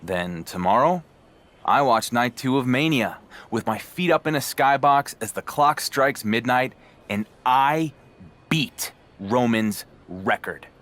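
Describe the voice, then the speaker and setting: An actor playing a calmly defiant male wrestling champion in a game cutscene, speaking in a smug, deliberate way. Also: medium pitch. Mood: smug taunting. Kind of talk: scripted wrestling drama cutscene.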